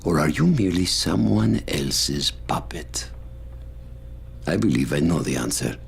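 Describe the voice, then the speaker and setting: A man's voice: extremely low pitch, sing-song, vocal fry, breathy edge, exaggerated voice. A man playing a sneering, grandiose warlord in a video game cutscene, speaking with theatrical contempt. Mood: cold contempt. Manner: theatrical, contemptuous, drawn-out philosophizing.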